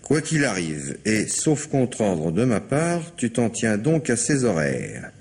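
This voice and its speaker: Subordinate inspector in radio crime drama: gravel-rough bass, lively intonation, matter-of-fact. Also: male voice, takes it slowly, full character voice. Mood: reading aloud, wry. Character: male police inspector, matter-of-fact subordinate with dry asides.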